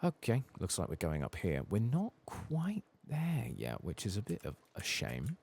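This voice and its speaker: A soft-spoken man doing casual, muttered ASMR commentary as he plays through a video game. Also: on the low side, huge pitch swings, unhurried, husky. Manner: casual muttering, swearing mildly. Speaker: ASMR gaming channel host.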